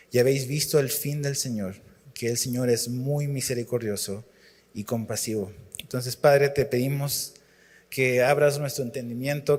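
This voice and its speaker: A man from Chile, preaching as a guest and reading scripture in a relaxed, halting way full of fillers and restarts. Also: medium pitch, long reverb tail.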